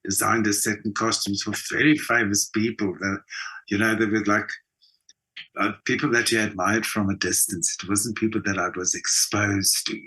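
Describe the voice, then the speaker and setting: A man's voice: lowish voice, little pitch movement, normal speed, sharp tone, poor audio. A South African man telling personal stories conversationally as an interview guest, speaking emphatically and repeating words for emphasis. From South Africa, Johannesburg. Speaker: interview guest, actor and theatre designer. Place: video call from home country, indoors. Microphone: video call.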